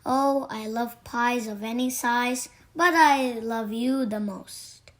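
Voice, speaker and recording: Slow-paced, higher-voiced woman reading to children. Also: fairly bright tone. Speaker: storybook reader. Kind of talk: read-aloud children's poetry.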